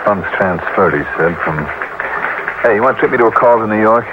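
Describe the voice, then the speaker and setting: An actor playing an insistent insurance investigator in a 1950s radio detective drama, heard through a noisy old broadcast. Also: male voice, lowish voice, animated, brisk, muffled recording, natural voice. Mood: probing.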